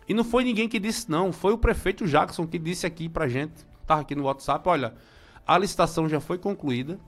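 High-voiced chatty Brazilian man in his forties. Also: brisk, faint music behind. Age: 40s. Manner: nostalgic, chatty, many greetings and restarts. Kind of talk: casual local commentary.

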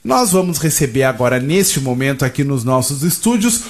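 A man's voice: medium pitch, big pitch swings, normal speed. A Brazilian man hosting a radio interview, chatty and rambling, speaking from the studio. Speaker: radio host.

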